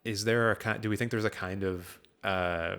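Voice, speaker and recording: Deep, level-voiced male podcast host. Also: fairly even intonation, moderate pace, fairly bright tone, clear, resonant tone. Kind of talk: casual film discussion.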